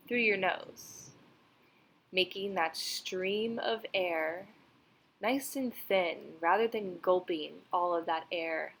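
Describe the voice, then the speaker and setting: A woman guiding a meditation in a soothing, unhurried, instructional way. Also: mid-range voice, a bit bright, rough recording. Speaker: meditation guide. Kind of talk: guided meditation narration.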